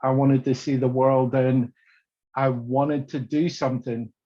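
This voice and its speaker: A man's voice: medium pitch, level tone, measured pace, warm tone, lo-fi sound. A middle-aged man, a mentor on a panel, telling his story in an easygoing, sociable way. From Northern Ireland. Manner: easygoing, sociable, fillers like "you know".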